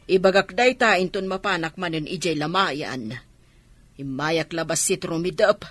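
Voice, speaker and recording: A woman's voice: fairly low pitch, moderate pace, no echo, lightly acted. A woman playing a feisty, melodramatic village mother who has lost a son, in a Filipino radio comedy drama with a Filipino accent. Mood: resolute.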